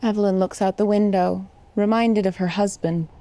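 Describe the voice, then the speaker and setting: A woman's voice: mid-range voice, steady tone, moderate pace, warm tone. A woman reading scripted narration and stage directions for an audio drama.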